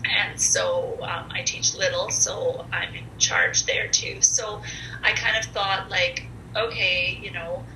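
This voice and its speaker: A loud woman in her forties tells her story as a podcast guest in a chatty, candid way, often pausing on fillers. Her voice is very bright and slightly low-pitched, and it rings in an echoey room with a faint hiss behind it. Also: steady tone. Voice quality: loud, comfortable speaking voice. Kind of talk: casual conversational storytelling.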